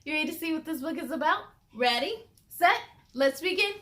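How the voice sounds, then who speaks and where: A woman hosting a children's story time, reading a picture book expressively and adding chatty asides and questions for young listeners. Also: sits high. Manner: animated, drawn-out delivery with interjections and questions to listeners. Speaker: children's read-aloud host. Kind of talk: expressive storybook read-aloud with friendly asides to young viewers.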